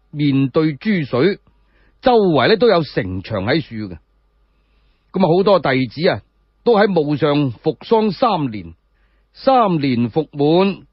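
A man's voice: on the high side, very animated, a little slow, slightly rough. A man with a Cantonese accent telling a story solo in a dramatic narrating style. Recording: dull, echo-free muffled recording.

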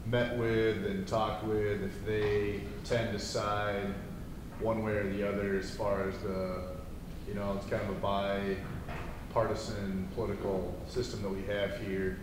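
Slow, loud man asking questions. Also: on the low side, little pitch movement, noisy background. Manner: loud, unhurried, hesitant. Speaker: audience member. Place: large hall with round tables. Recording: echoey hall.

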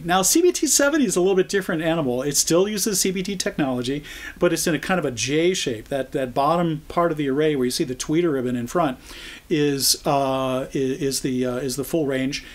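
An American man in his sixties giving an informal product explainer, speaking conversationally and haltingly as he thinks it through. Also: fairly high pitch. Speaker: product trainer.

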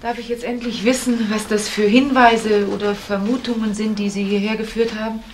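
A woman's voice: mid-range voice, fairly even intonation, moderate pace, natural voice. Actress as a guarded young woman, noisy recording. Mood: uneasy, insistent. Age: young adult. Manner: reserved, polite, questioning back. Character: guarded younger girlfriend of a missing man, anxious. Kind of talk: German crime radio play acting. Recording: noisy old radio drama recording.

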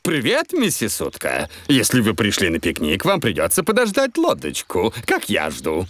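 A man's voice: fairly low pitch, huge pitch swings, normal speed, a bit bright, no room sound, full character voice. A man voicing a jolly, hearty talking animal in a dubbed children's cartoon.

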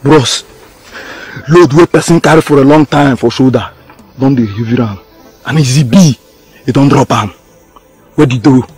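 An actor playing a defiant, aggrieved gangster in a film drama, with a Nigerian accent and an insistent, confrontational manner. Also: male voice, medium pitch, normal speed, long pauses, a little mellow, slightly rough, faint music behind. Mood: menacing. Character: defiant, aggrieved male gangster demanding his share.

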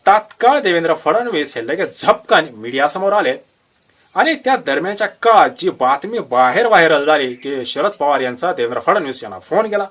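Animated, high-pitched Maharashtrian man commentating. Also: moderate pace. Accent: Marathi, from Maharashtra. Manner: explanatory, emphatic storytelling with rhetorical questions. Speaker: political news commentator. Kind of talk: unscripted political commentary addressed to viewers.

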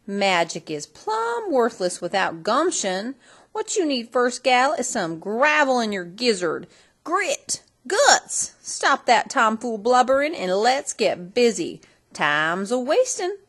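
A woman's voice: fairly high pitch, very animated, slow, fairly bright tone, pitched above the performer's own voice, heavily acted. A woman voicing a feisty, bossy little old Western fairy godmother in folksy cowboy slang for a storybook. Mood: scolding, urging.

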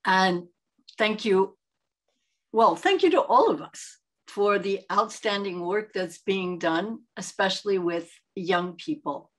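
A middle-aged woman moderating an online panel, thanking speakers and moving on in a measured, gracious way. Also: mid-range voice, lively intonation. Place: home room, video call. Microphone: video call webcam.

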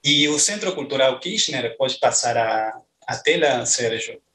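A man in his thirties with an Argentine Spanish accent, presenting academic research over a video call from a small room at home, pausing often on "eh". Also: mid-range voice, expressive, pushed and bright. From northeast Argentina. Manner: hesitant, many "eh" fillers. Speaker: postdoctoral researcher. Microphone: headset on a video call.

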